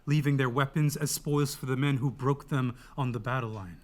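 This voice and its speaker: A man's voice: medium pitch, fairly even intonation, normal speed, natural voice. A man playing a solemn chorus member, reciting battle verse poetically in a Greek tragedy. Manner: declamatory, poetic. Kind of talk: dramatic reading of Greek tragedy.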